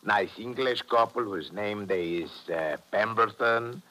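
An actor playing a sleepy, obliging innkeeper in a 1950s radio drama, with an unctuous, drawn-out manner. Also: male voice, on the low side, sharp tone. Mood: obliging, droll. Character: sleepy, obliging foreign innkeeper showing off his rooms.

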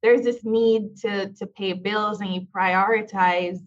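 A woman's voice: medium pitch, steady tone, slow. A Jamaican woman with an uptown Jamaican accent that leans American Southern, talking casually as a podcast guest, laughing easily and repeating phrases for emphasis. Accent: uptown Jamaican, with an American Southern tinge. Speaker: podcast guest, business coach. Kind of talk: casual conversation. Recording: dull lo-fi sound.

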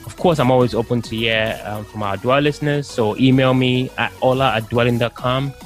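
A man's voice: medium pitch, steady tone, a little fast, faint music behind. A man hosting an interview podcast, speaking to guests and listeners. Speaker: podcast host. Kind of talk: podcast interview and scripted promo.

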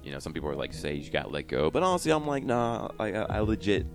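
A man's voice: low voice, very animated, fast talker, music in the background. A young man hosting a podcast in casual chat, rambling and laughing with lots of fillers, his voice still worn from a trip. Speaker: podcast host.

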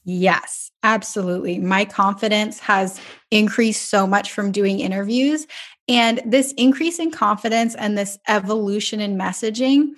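A woman's voice: mid-range voice, measured pace. Woman podcast host, upbeat, affirming, casual chat.

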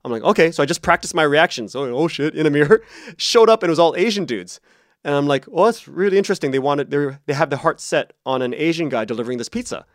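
A man's voice: a little high, expressive, fast, dry recording, studio quality. A man speaking as an interview guest, telling personal stories conversationally and casually, acting out quotes as he goes. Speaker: interview guest, podcast creator and writer.

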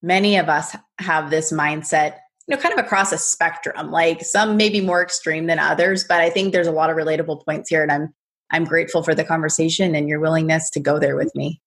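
A woman's voice: deep voice, steady tone, a little fast. A woman hosting a podcast, talking in an encouraging, conversational way and often saying "like". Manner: warm, encouraging, uses "like" a lot. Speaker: podcast host. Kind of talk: casual conversation and scripted outro.

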